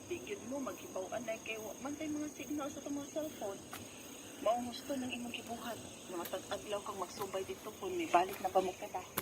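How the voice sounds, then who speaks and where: A woman acts out a worried wife in a Filipino radio drama. Her voice sits rather high, with a Cebuano accent, as she recounts family hardship. The recording carries clear background noise. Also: fairly quick, lightly acted. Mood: concerned.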